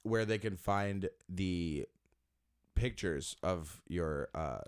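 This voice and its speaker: A man's voice: sits low, takes it slowly, smooth. A man hosting a podcast, chatting casually with a guest, joking and laughing often. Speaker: podcast host. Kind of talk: casual interview chat. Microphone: close studio mic.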